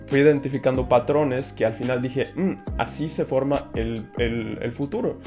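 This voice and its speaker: A man from Ecuador with an Ecuadorian Spanish accent, explaining casually to camera in an animated, enthusiastic way. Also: mid-range voice, moderate pace, soft background music. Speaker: host.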